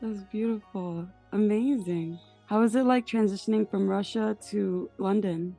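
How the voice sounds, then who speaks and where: Woman, podcast host, interview delivery, mellow timbre, medium pitch, music underneath. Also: unhurried, gravelly. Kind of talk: scripted introduction and interview questions.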